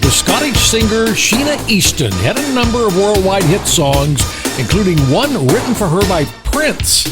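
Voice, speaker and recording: Animated male radio DJ over music. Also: high-pitched, moderate pace. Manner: chatty, jokey asides. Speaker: radio host. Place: home studio. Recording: music bed under the voice.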